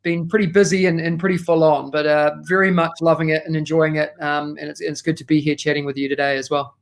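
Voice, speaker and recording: A man's voice: on the high side, level tone, quick pace, creaky. A man with a New Zealand accent, talking enthusiastically as a podcast guest, with frequent fillers. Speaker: guest, education consultant. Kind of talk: casual podcast interview.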